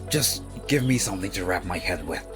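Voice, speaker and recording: A man voicing a proud, gruff, battle-worn ninja master in a fantasy audiobook, with terse delivery. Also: deep voice, animated, a little fast, music in the background. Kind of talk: fantasy audiobook character acting.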